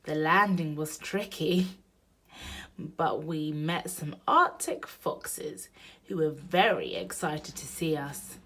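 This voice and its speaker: A woman reading a children's picture book aloud in an expressive storytelling manner meant for young listeners. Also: on the low side. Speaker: storybook reader.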